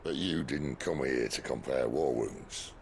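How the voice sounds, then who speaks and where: An actor playing a world-weary, roguish rebel leader in a video game, gravelly and wry. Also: male voice, extremely low pitch, unhurried, a little hiss or hum, full character voice. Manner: wry, laconic. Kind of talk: dramatic video game acting.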